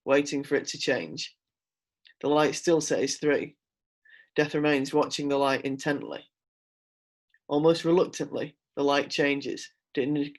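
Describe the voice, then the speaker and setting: A man narrating scene descriptions from a script in a dramatic reading. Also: medium pitch. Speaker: script reader. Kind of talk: scripted narration, screenplay read-through.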